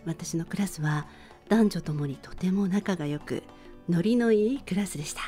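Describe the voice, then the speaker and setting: A woman radio host speaking politely and gently to her listeners in a relaxed bedtime show style. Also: fairly low pitch, moderate pace, soft background music.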